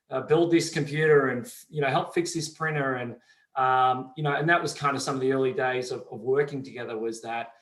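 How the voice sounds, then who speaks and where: Aussie guy, accent worn down, middle aged, explains things slowly w lots of um and uh, echoey. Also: mid-range voice, fairly bright tone. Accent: softened Australian. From Australia. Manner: measured, explanatory, many fillers. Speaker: company CEO, guest. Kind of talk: interview answers. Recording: roomy echo.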